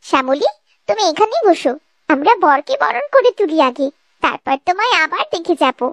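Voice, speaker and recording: A woman's voice: extremely high pitch, very animated, moderate pace, no room sound, heavily acted. A woman voicing a dutiful, earnest young woman of the household in a cartoon family drama. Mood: eager, caring. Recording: loud music behind the voice. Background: music.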